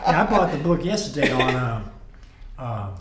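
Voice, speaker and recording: A man's voice: mid-range voice, sing-song, moderate pace, crowd noise. A man hosting a casual interview, hesitant, with many fillers as he asks questions. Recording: echoey room.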